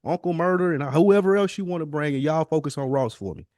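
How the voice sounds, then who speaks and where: A man's voice: fairly high pitch, quick pace, darkish tone, no echo, clean studio sound. A man chatting casually as a podcast co-host in a slangy, conversational way. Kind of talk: casual podcast chat about hip hop.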